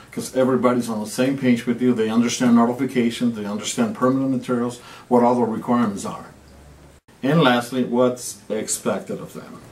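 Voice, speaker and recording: A middle-aged man, a quality control manager, explaining a work process in a plain, steady way from an office desk. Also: mid-range voice, distant mic, poor audio. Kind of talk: on-camera workplace explainer.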